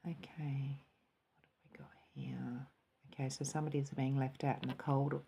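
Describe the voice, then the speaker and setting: A woman giving a spiritual tarot and cup reading in a soft, musing way, sometimes dropping into a quiet, calm voice. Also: a bass voice, normal speed, vocal fry, slight background noise.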